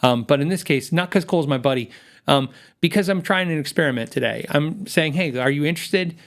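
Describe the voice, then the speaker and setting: A man's voice: a little high, a little fast, a bit bright. A man hosting a gear livestream, chatting casually with viewers, rambling with many restarts and reading out viewer comments. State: voice worn from long shows.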